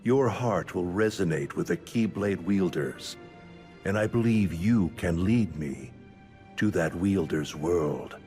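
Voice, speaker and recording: An actor playing a sinister, solemn older scholar in a video game, speaking in a grave, portentous manner. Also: male voice, sits low, slow, dark tone, faint music behind. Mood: ominous. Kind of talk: scripted video game cutscene acting.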